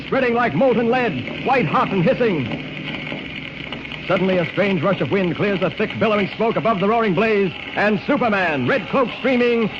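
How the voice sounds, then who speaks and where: High-pitched dramatic male radio narrator, noisy recording. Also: muffled recording. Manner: dramatic, declamatory. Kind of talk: 1940s radio serial narration, scripted.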